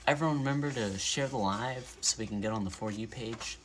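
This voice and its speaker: A man reading a children's storybook aloud in an animated narration style, as a bedtime story livestreamer. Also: on the low side, fairly quick, noisy background.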